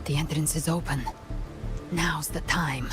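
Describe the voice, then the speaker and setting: A woman's voice: deep voice, steady tone, measured pace. A woman voicing a cool, determined huntress in a video game, with music behind her. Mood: coolly determined.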